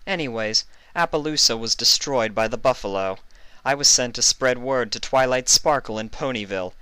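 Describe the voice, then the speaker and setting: Young female pony played by a man, defiant and combative, raised pitch, close dry sound. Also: normal speed, sharp tone, smooth, no echo, close to an everyday voice. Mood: grudging, sarcastic. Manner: spitting, combative.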